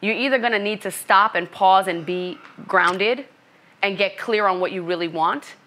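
Bright-voiced Brooklyn woman coach. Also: medium pitch, moderate pace. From Brooklyn, New York. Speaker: coach and author. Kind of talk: interview conversation.

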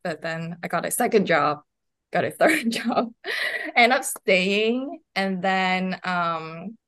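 A woman's voice: on the low side, expressive, takes it slowly, a bit bright. A woman from Hong Kong chatting casually as an interview guest, with informal phrasing full of "like". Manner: informal, peppers talk with "like". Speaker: podcast guest.

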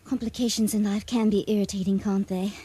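A woman's voice: mid-range voice, steady tone, fairly quick, dark tone, slightly rough, dry recording, lightly acted. An actress playing a love-struck teenage schoolgirl, flustered and affectedly formal, in a radio drama. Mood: nervous, earnest.

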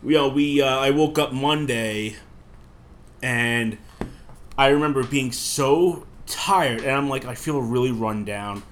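A man's voice: mid-range voice, takes it slowly, fairly bright tone, slight background noise. A man with a cough, sick, co-hosting a podcast and rambling casually with frequent swearing. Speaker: podcast co-host. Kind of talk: casual chat.

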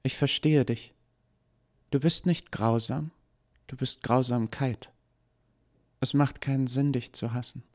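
A man reading an audiobook, voicing a gentle young woman who was made by someone, speaking softly and pleadingly. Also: medium pitch, steady tone, measured pace, pauses often, pitched above the performer's own voice, natural voice. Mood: calm forgiving.

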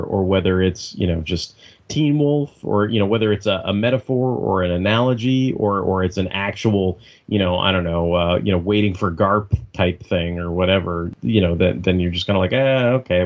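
A man co-hosting a casual podcast chat, rambling with many restarts and the odd swear. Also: fairly low pitch. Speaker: podcast co-host. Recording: dull lo-fi audio.

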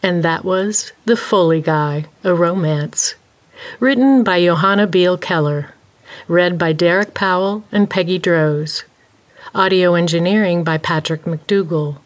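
A woman's voice: lowish voice, measured pace, faint music behind. A woman announcer reading scripted closing credits.

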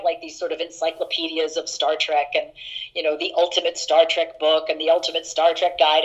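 Middle-aged actress guest, deeper voice, rambling and warmly laughing through anecdotes; dull lo-fi recording. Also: female voice. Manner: chatty, laughing, many fillers and restarts. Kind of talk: casual interview anecdotes.

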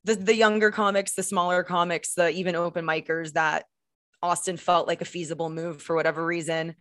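Low-voiced, laughing woman comedian. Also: little pitch movement, fairly quick, a bit bright, no echo, studio quality. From Texas. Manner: chatty, laughs often, many "like" fillers. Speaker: guest comedian. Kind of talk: casual podcast chat.

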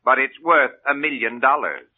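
A man's voice: medium pitch, expressive, normal speed, very noisy, lightly acted. A man playing a fretful rich diamond owner. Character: anxious wealthy man owning a precious diamond. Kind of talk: 1940s radio acting. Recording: tinny old radio broadcast.

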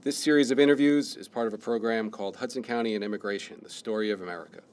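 A man's voice: on the low side, steady tone, very fast, darkish tone, slight background noise. A man hosting a radio interview, reading a prepared intro and then asking casual questions. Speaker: host, interviewer.

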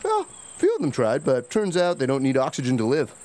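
A man's voice: medium pitch, huge pitch swings, a little fast, darkish tone, slight background noise. A man playing a cocky, slangy, boastful livestreamer in a sci-fi comedy audio drama. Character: obnoxious, boastful young male livestreamer and vape bro.

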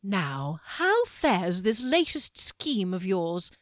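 A woman voicing a calm, sly male wizard who mocks in slow, quietly menacing tones. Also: a little high, no room sound, clean studio sound, voice pushed up. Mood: mocking.

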